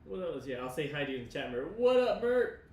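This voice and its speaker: Man, livestream co-host, very quick pace, rambling casual chat, excitable, echoey room. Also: fairly high pitch, recorded from a distance. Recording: roomy echo, faint background noise.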